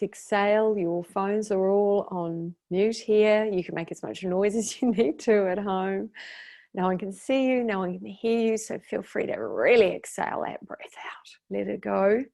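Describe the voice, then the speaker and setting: A woman guiding a meditation as its teacher, speaking in a soothing, unhurried way with long pauses. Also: medium pitch, creaky, dark tone. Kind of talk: guided meditation.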